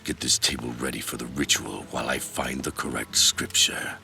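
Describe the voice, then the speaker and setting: An actor playing a sinister old cult patriarch in a video game cutscene, ominous and deliberate, with a gravelly voice. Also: male voice, fairly even intonation, sharp tone, full character voice. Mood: coaxing, sinister. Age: older. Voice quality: very deep, gravelly. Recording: music under the voice.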